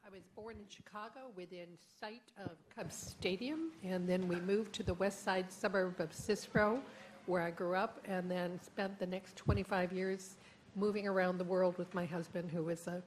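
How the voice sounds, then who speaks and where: A woman introducing herself at a meeting, brisk and matter-of-fact. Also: fairly low pitch, fairly even intonation, slight background noise, poor audio. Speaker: meeting participant.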